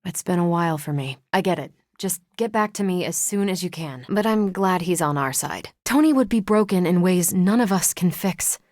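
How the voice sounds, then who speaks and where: A woman's voice: fairly low pitch, animated, moderate pace, few pauses, darkish tone, dry recording, clean studio sound, natural voice. A woman playing a confident, wry female superhero soldier in a video game, breezy and assured in her delivery. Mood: casual, wry. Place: dry studio recording.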